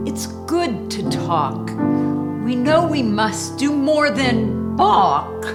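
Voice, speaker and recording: Expressive woman reading children's poetry slowly. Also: fairly high pitch. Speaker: host and poetry reader.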